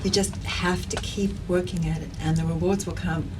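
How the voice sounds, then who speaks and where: A woman's voice: fairly low pitch, normal speed. A middle-aged woman from South Africa with a South African accent, talking earnestly and energetically as a guest in an interview. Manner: energetic, earnest, repeats herself while thinking. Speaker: guest, padel league founder. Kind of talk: conversational interview. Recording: distant mic with clear background noise.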